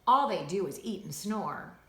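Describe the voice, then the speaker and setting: A woman voicing a jolly, bossy, energetic male handyman in a storybook, chirpy and coaxing. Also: medium pitch, measured pace. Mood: teasing. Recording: roomy, echoing indoor sound.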